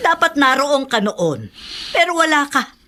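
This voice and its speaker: Stern old mother-in-law, high bright voice, very animated, blunt, scolding, radio drama. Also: slow, full character voice. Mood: scolding, indignant. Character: stern, outspoken elderly mother-in-law, protective of her daughter. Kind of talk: Filipino radio drama acting.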